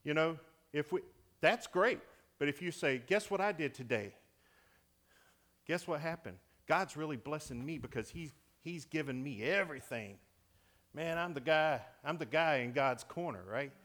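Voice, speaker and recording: A man, a deacon, preaching a sermon in a church with a big voice that swings from loud to hushed, speaking into a microphone he wears. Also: fairly high pitch, expressive, moderate pace. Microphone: wearing a microphone.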